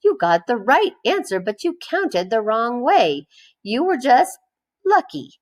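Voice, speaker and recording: A woman voicing a firm, persistent, exasperated female schoolteacher in a children's storybook, instructing with drawn-out emphasis. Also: fairly high pitch, big pitch swings, voice pushed up.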